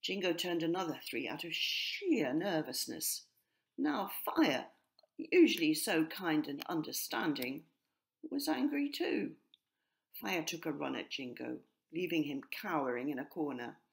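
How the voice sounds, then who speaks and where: A woman narrating a children's story as its author, expressive and clearly scripted. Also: sits low, unhurried. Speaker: author reading her own story.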